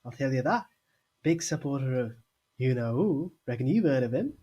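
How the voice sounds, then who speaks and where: Woman as husky, cheeky young bus conductor. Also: a bass voice, expressive, normal speed, mellow, lo-fi sound, heavily acted. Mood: gossipy, ominous. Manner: colloquial, chatty, gossipy. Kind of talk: audio drama character acting. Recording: music under the voice.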